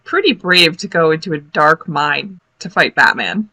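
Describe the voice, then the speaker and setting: A woman giving casual, expressive live reaction commentary on a cartoon as a video host, full of exclamations. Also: low voice, moderate pace, faint music behind. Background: cartoon soundtrack playing.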